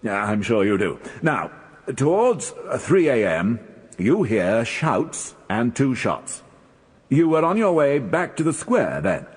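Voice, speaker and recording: An actor playing a formal, probing, faintly condescending British barrister questioning a witness in a radio courtroom drama. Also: male voice, medium pitch, very animated, slow, slightly rough, heavily acted. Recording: reverberant courtroom-like hall.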